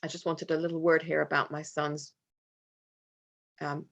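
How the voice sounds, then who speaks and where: A woman's voice: low-pitched, level tone, gravelly, a bit bright. Earnest woman giving a conference talk. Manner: earnest, reflective, with pauses and restarts. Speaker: conference speaker, parent. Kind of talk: presentation talk with slides. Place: conference hall.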